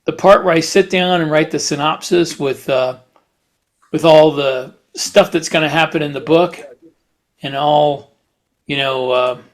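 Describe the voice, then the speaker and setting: Higher-pitched man in halting podcast chat. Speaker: podcast co-host and fiction writer.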